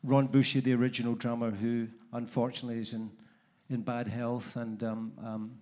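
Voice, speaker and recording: A man with an Oklahoma accent introduces himself haltingly, filling pauses with ums. His voice stays flat and even, in a mid register. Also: medium pitch. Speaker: drummer on a panel. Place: cruise ship event room.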